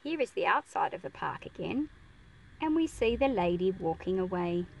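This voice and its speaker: A woman's voice: on the low side, normal speed, creaky, slightly rough, rough recording. A woman reading a picture book to children, expressively explaining it and asking them questions. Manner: expressive, explanatory, addressing young listeners with questions. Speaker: read-aloud presenter teaching children.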